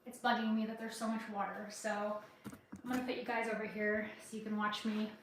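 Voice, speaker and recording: A woman's voice: medium pitch, flat delivery, brisk. Chatty woman cooking in an echoey kitchen. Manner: chatty, rambling, laughs often, sniffles. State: runny nose, sniffling. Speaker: cooking livestream host. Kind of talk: casual cooking livestream chat. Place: home kitchen. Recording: echoey kitchen, far from mic, background noise.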